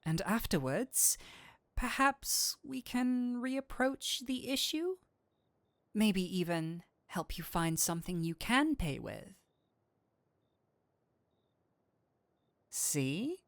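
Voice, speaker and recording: A man acts out a nerdy male incubus demon in an intimate audio roleplay. He speaks slowly and theatrically, teasing and coaxing with a kindly streak. The sound is dry, with no room around the voice. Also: sits high, big pitch swings, fairly bright tone, dry recording, exaggerated voice. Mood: seductive, teasing. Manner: coaxing, playful, theatrical switches between seductive and flustered.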